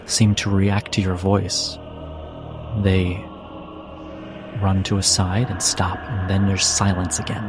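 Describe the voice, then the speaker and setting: Low-pitched male game master over music. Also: level tone, slow, long pauses. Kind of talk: narrating a tabletop role-playing game.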